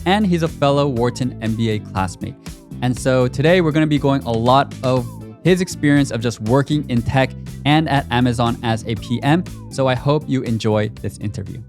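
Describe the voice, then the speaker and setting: A man's voice: mid-range voice, a little mellow. A young adult man hosting a podcast, asking questions and delivering polished scripted intros. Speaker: podcast host. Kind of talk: interview host with scripted ad read. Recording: dry close mic with faint music.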